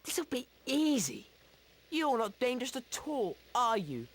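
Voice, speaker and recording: An actor playing an arrogant schoolboy bully in a video game, cocky and sneering. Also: male voice, extremely high pitch, big pitch swings, a little slow, exaggerated voice. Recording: clear noise behind the voice.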